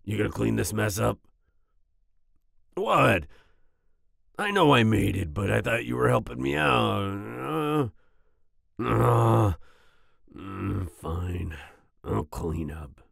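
A man playing a big, grumpy werewolf boyfriend with a growling, husky voice and a soft side, in an ASMR roleplay. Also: on the low side, animated, dry recording, studio quality, exaggerated voice. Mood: indignant. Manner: grumbling, slow, with grunts and growls.

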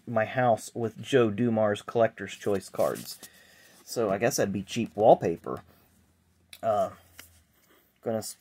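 A middle-aged man talks casually in a rambling way, tossing in self-deprecating asides. His voice sits at an ordinary pitch for a man, and the camera mic picks him up at a table indoors. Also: darkish tone. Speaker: sports card collector vlogger. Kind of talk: casual unboxing chat. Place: indoor card room at a table. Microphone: camera mic, hands in frame.

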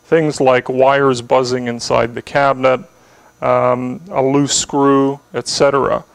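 Man, thirties, technical factory walkthrough, measured delivery, dry sound, camera mic indoors. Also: mid-range voice, flat delivery, creaky, smooth, no echo, rough recording. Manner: measured, technical, repeats words when restarting. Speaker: company spokesman giving a factory tour. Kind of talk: explanatory walkthrough. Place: indoor factory test area and equipment lab.

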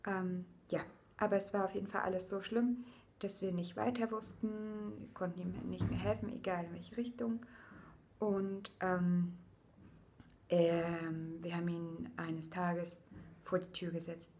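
A young woman from eastern Germany speaks straight into a webcam in a small room. Her talk is loose and unscripted, full of small fillers and restarts, and she turns softer and quieter on the painful parts of her story. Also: on the low side, steady tone, measured pace, slight background noise. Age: young adult. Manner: casual, searching for words, many fillers, drops quieter on painful parts. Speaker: vlogger. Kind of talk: personal video diary. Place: indoors, small room with a painting behind her.

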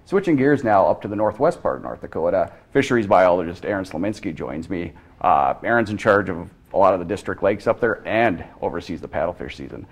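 Middle-aged male interview host, deep gravelly voice with a lively lilt, steady short questions. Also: brisk, hardly any pauses. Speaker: webcast host. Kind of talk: studio interview. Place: studio, seated before backdrop.

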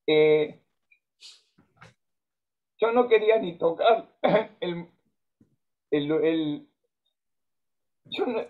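A man in his fifties from Argentina with an Argentine Spanish accent, a musician hosting a casual video-call conversation with lively storytelling, frequent fillers and restarts. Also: high-pitched. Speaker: musician and host. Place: home music room. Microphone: webcam on a video call. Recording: dull lo-fi video-call audio.

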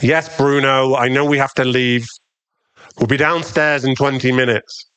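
A man playing a possessive, tender and dangerous mafia boss boyfriend in an intimate, teasing ASMR roleplay, lingering on his pauses. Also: medium pitch, fairly even intonation, a bit bright, clear, resonant tone, no room sound, close to an everyday voice. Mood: mildly annoyed, teasing.

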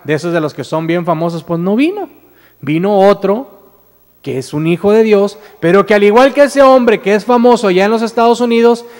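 A man's voice: high voice, a little mellow. A Mexican man in his thirties preaching a sermon at a pulpit mic, telling a story earnestly with many repeated words and restarts, then rising into emphatic declarations. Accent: Mexican Spanish. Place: church sanctuary with stage, indoors. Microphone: standing mic at pulpit. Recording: church hall reverb.

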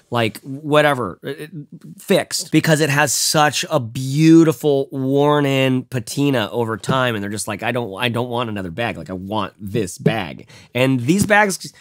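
A man's voice: mid-range voice, normal speed, no room sound. A man in his thirties reviewing a bag in a casual, rambling vlog, talking with lots of fillers and the odd swear from a desk in his home studio. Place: home studio room, indoors.

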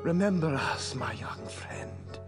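An actor playing a dying, resigned guild master in an adventure game, speaking solemnly and haltingly in formal words. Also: male voice, on the high side, animated, over music. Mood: fading, resigned. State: weak, dying, coughing. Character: dying elderly glassmaker master, noble and resigned. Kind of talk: 1990s adventure game voice acting.

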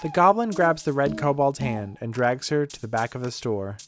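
Animated woman narrating fantasy comedy. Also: rumbling low, moderate pace, soft background music. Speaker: podcast narrator.

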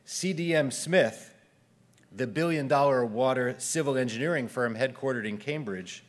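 A man's voice: mid-range voice, normal speed. Middle-aged man reading prepared remarks. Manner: reads prepared remarks steadily. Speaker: event host. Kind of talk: prepared speech at a podium. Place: event hall, indoors. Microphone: podium microphone.

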